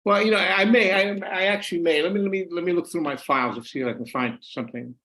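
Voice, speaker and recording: Older man, halting and restarting. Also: high voice, bright, forceful tone. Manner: halting, many restarts and repeated words. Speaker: author and former journalist, guest. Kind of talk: conversational interview answers. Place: home room. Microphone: video call.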